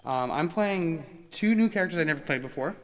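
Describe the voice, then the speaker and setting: A man's voice: a little high, lively intonation, brisk, poor audio. A man hosting and teaching a tabletop game in casual, playful chat. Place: indoors around a game table.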